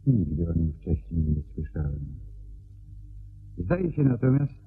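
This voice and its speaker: Gravelly, swooping, deferential secretary. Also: male voice, low-pitched, sing-song, unhurried, faint music behind, heavily acted. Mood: explaining, guarded. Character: deferential, evasive male private secretary. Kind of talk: Polish radio play acting. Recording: narrow phone-like band, hissy old radio.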